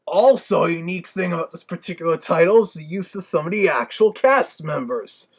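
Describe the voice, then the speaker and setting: A man voicing a chatty, nostalgic big bad wolf with a gravelly, husky voice, giving a folksy casual review. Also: sits high, brisk. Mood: informative.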